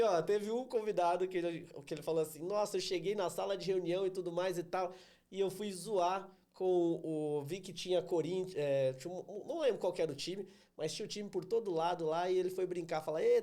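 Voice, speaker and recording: A man's voice: high-pitched, mellow. A man from Santa Catarina with a southern Brazilian accent, hosting a casual podcast interview while he has a cold. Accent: Santa Catarina Brazilian Portuguese. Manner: chatty, storytelling, slows down to be understood. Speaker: podcast host, sales trainer.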